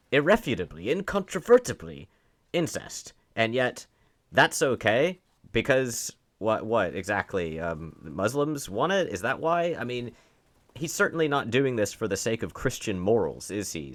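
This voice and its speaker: Male online commentator, deep voice, emphatic and sardonic, delivering unscripted opinion with pointed rhetorical questions. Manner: emphatic, stressing words for effect. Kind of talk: opinionated off-the-cuff political commentary.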